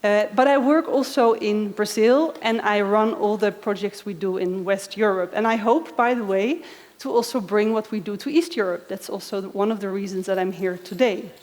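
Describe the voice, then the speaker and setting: A woman's voice: medium pitch, moderate pace, a little mellow. A woman in her thirties from the Netherlands with a Dutch accent, giving a friendly conference talk and asking the audience questions. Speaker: conference speaker. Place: large conference hall. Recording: echoey hall.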